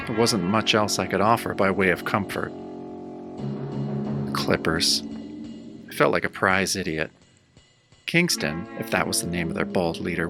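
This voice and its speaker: A man's voice: deep voice, vocal fry. An actor playing a battered, wry tough-guy hero, narrating his own pulp horror tale in a radio-style audio drama. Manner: wry, hard-boiled first-person storytelling.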